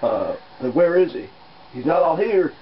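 A woman voicing a bossy, menacing, slightly dim muscle man in a put-on deep voice for a comedy skit. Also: sing-song, normal speed, husky, exaggerated voice. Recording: muffled lo-fi audio, faint noise.

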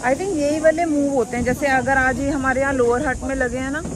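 A fairly high-voiced woman in her thirties talks matter-of-factly about prices as she walks an outdoor market. Clear market chatter and traffic sit behind her voice. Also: fairly even intonation, a little fast. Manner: matter-of-fact, comparing prices. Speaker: co-host. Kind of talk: casual chat.

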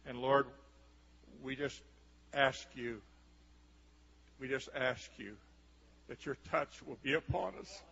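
An older man, a pastor, leading a solemn, measured prayer before a congregation. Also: medium pitch, gravelly, pushed and bright, slightly rough. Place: large church sanctuary stage. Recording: lo-fi camcorder recording in a reverberant hall.

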